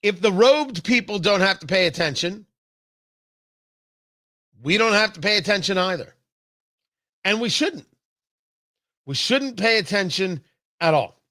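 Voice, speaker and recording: An American man delivers political commentary in a bright, forceful voice that swings widely in pitch. He is emphatic, leaning on drawn-out stresses and repeated phrases, and the sound is close and dry. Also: expressive, dry recording. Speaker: political talk show host. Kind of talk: opinionated political commentary monologue.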